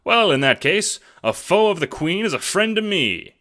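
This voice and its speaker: A man's voice: sits high, big pitch swings, moderate pace, bright, forceful tone, reverberant, long reverb tail, voice pushed up, heavily acted. A man voicing a pompous old adventurer in an audio drama, blunt and condescending, full of old-fashioned slang. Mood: jovial.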